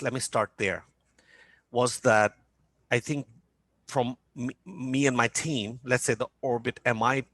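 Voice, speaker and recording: A man's voice: mid-range voice, a bit bright, dry recording. A man from Norway speaking in a business panel talk as a company founder, hesitant with many fillers and restarts.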